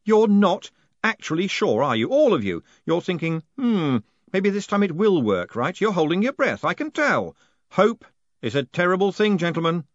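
A man voicing a quick-witted young conman turned postmaster, wry and a little anxious. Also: medium pitch, wildly swooping pitch, no room sound, muffled recording, voice pushed up, heavily acted.

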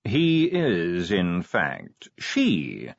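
A man's voice: low voice, huge pitch swings, drawn-out words, gravelly, no room sound, highs cut off, heavily acted. A man voicing a suave, commanding male city ruler, formal and dryly precise, in an audiobook. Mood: dry, measured.

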